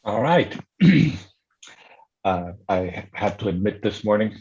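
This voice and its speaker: A man's voice: fairly low pitch, big pitch swings, slow pace, a little mellow, breathy edge. A man from Germany introducing himself on a video call, laughing easily. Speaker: meetup speaker. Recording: dull lo-fi video call audio.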